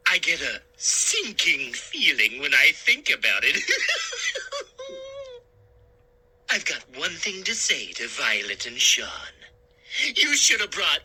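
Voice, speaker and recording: A man's voice: a little high, wildly swooping pitch, slow, long pauses, pushed and bright, a little hiss or hum, full character voice, poor audio. A man voicing a gleeful, wisecracking male ventriloquist dummy who mocks and makes puns in an audiobook interlude. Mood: gleeful mocking. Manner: mocking, punning, cackling.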